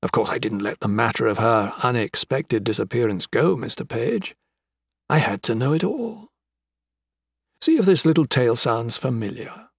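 A man voicing an older gentleman villain in an audiobook, with florid, mock-courteous, taunting speech. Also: medium pitch, animated, moderate pace, creaky, breathy edge, no echo, clean studio sound. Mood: grim accusation. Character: menacing, theatrical old gentleman crime boss, mocking and sentimental. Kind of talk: dramatic audiobook character acting.

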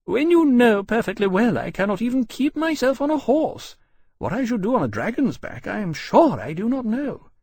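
A man's voice: high voice, big pitch swings, normal speed, gravelly, darkish tone, voice pushed up, exaggerated voice. A man voicing an older aristocratic mother, genteel, gracious and mannered, in a storybook narration. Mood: indignant.

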